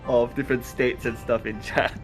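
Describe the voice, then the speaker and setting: A man's voice: medium pitch, little pitch movement, normal speed. A middle-aged man chatting casually as a livestream co-host while demonstrating his artwork on screen. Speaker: livestream co-host and game artist. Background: music.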